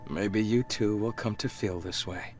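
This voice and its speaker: A calm, pragmatic male guide played by a man in a game, with a fairly low voice. He talks in an understated, matter-of-fact way over faint music. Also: a little slow, lightly acted. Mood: grave, calm. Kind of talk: video game character acting.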